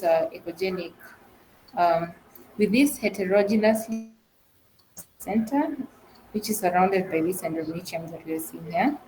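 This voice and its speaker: A woman's voice: on the low side, a little mellow, a little hiss or hum, low-quality recording. A woman trainee presenting medical imaging cases in an explanatory style. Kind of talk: case presentation of medical images.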